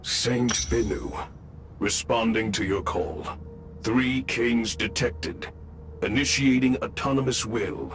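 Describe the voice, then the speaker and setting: An actor playing an ancient mechanical saint in a game, courteous, stately and ceremonious. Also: male voice, mid-range voice, slow, a bit bright, a little hiss or hum. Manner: stately, formal. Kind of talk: scripted video game cutscene acting. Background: music.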